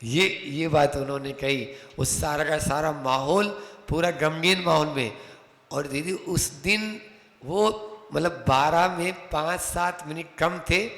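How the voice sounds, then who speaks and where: A man giving a sermon as a monk, telling anecdotes and slowly chanting verses. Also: a little high, a bit bright. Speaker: Jain monk lecturer. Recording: echoey hall reverb.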